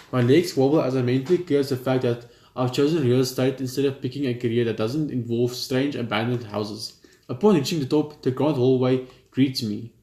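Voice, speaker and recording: A young man plays a visual novel and reads its text out loud. His delivery is informal and stumbles now and then. The room echoes and there is clear noise behind him. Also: mid-range voice. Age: young adult. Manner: informal, stumbles while reading. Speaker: let's player. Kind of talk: reading visual novel text aloud with casual reactions. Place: indoor room at home. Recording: roomy echo, clear background noise.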